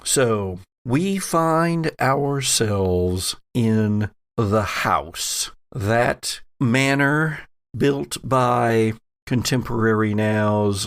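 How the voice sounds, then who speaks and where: A man hosting and narrating an actual play audio drama as game master, with theatrical, drawn-out emphasis. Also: mid-range voice, dry recording, studio quality. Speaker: podcast host and game master.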